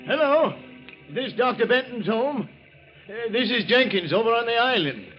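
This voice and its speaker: An actor playing a plain-spoken, urgent old island caretaker in a radio drama, folksy and blunt. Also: male voice, very high voice, big pitch swings, normal speed, soft background music. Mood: worried, brisk. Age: older. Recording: muffled old radio recording, faint noise.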